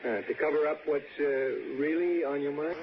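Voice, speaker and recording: A man's voice: fairly high pitch, fairly even intonation, brisk, natural voice. An actor in a 1960s radio suspense play, playing an enigmatic, morbid male stranger who probes and insinuates with hesitant phrasing. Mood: insinuating. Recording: noisy muffled old radio recording.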